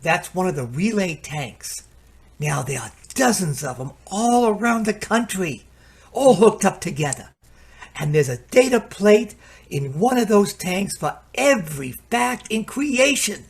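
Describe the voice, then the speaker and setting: A man's voice: high voice, sing-song, unhurried, gravelly, breathy edge, heavily acted. An actor playing a folksy, patient gadget salesman in a radio play, chatty and colloquial, hemming and hawing. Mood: confident explaining.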